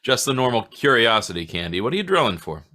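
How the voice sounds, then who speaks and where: Man playing a lively, halting police inspector. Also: medium pitch. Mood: probing. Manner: hesitant, halting. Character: friendly, awkward male police homicide inspector. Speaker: actor in an old-time radio detective play. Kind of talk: 1940s radio acting.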